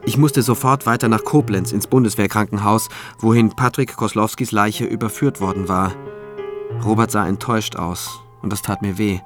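An actor playing a thoughtful, conscientious male prosecutor in a radio drama, with a measured, introspective manner. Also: fairly low pitch, fairly even intonation, music in the background, close to an everyday voice. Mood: pensive, sober. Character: pensive, conscientious male public prosecutor narrating his investigation. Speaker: lead actor and first-person narrator. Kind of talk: radio drama narration and dialogue.

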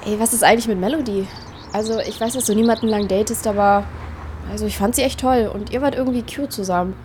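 Schauspielerin als junge Frau, eher helle Stimmlage, Hintergrundgeräusche. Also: female voice, a little high, quick pace, a little mellow, a little hiss or hum, natural voice. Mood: casual, chatty. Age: young adult. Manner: colloquial youth slang with English bits. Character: Daya, young woman, anxious, self-doubting, casual, caring. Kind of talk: naturalistic audio drama acting.

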